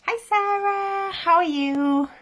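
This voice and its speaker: A woman's voice: very high voice, slow pace, a bit bright. A woman hosting a casual livestream with a stuffy, congested voice from a cold, chatty and meandering, often breaking into laughs. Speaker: livestream host, spiritual coach.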